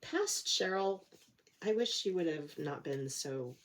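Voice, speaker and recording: A woman's voice: on the low side, lively intonation, slow, slight background noise. A middle-aged woman chatting casually about her cross-stitch projects, a little nasal from a cold, rambling and self-deprecating with plenty of fillers. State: nasal from a cold, sore throat. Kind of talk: casual chat to camera.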